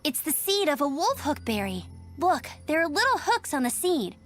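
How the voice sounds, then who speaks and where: An eager young female knight scout from an anime game. The voice is high and very lively, earnest and confident, with faint music underneath. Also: normal speed. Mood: thoughtful.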